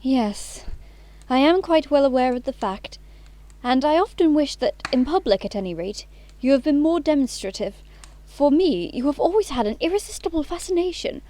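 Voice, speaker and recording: A woman's voice: high voice, expressive, moderate pace, warm tone. A woman acting an imperious, romantic young Victorian society lady in an audio play, with precise, affected, self-assured speech. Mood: gushing, assured.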